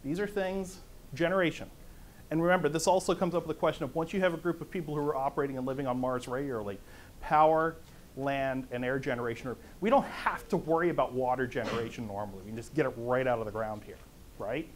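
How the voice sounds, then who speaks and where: A middle-aged man with a conversational, lively manner, lecturing to a conference audience in a meeting room. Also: mid-range voice, fast talker, a little hiss or hum, rough recording. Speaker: conference speaker. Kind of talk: lecture on economics.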